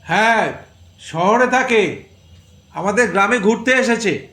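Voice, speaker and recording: A man's voice: sits high, animated, slow pace, pushed and bright, heavily acted. A man playing an easygoing young man who guides his friend casually, in an audio drama with a roomy sound. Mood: relaxed explaining. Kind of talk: Bengali audio story acting.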